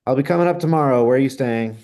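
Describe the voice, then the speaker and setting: A man's voice: medium pitch, rapid-fire, warm tone, close to an everyday voice. A man reading the part of a chatty, self-promoting fashion colleague in a screenplay table read. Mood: matter-of-fact.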